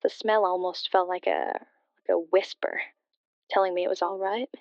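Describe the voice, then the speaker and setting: Wistful grieving daughter calling a radio show: halting, sincere, smooth voice, slightly dull dry sound. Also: female voice, on the low side, steady tone, no echo. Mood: wistful, wondering. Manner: hesitant, halting, reflective. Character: bereaved daughter, wistful and sincere, confiding a ghostly story. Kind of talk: scripted audio drama, emotional personal storytelling.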